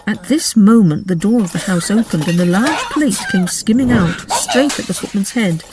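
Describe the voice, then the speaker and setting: A woman's voice: mid-range voice, big pitch swings, normal speed, very noisy. A woman narrating a story expressively, as if reading a storybook aloud.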